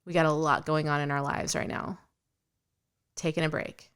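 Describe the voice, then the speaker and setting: A woman's voice: sits low, level tone, normal speed, a bit bright, clear, resonant tone. A woman in her late thirties co-hosting a podcast, chatting casually, swearing freely and retelling stories with animation. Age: late 30s. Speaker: podcast co-host. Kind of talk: casual chat.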